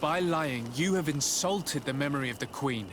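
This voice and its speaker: A man playing a composed, pragmatic diplomat in a video game, speaking in a measured, formal way. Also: fairly high pitch, lively intonation, background noise, close to an everyday voice. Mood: stern. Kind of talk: video game cutscene acting.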